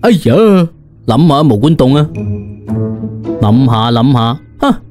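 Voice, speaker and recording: A man's voice: mid-range voice, big pitch swings, takes it slowly, music in the background. A man with a Cantonese accent narrating a comic first-person audiobook in a colloquial, theatrical way.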